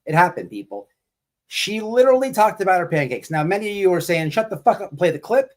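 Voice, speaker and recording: A man's voice: high voice, very animated, quick pace. A man hosting a livestream, giving casual, mocking commentary in a profane, repetitive, rambling way.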